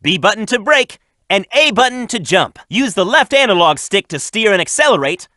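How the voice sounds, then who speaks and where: Game hero role: cocky young cartoon hedgehog, high and lively, confident delivery. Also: male voice, no echo, studio quality, exaggerated voice. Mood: instructive, upbeat. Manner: brisk, confident, upbeat. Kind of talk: video game character voice acting, tutorial hints and quips.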